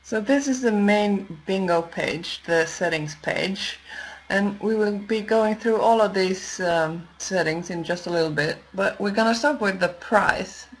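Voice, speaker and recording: Slow-paced woman giving a software tutorial. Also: medium pitch, low-quality recording. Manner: measured, explanatory, pausing as she works. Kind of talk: unscripted step-by-step screen tutorial narration.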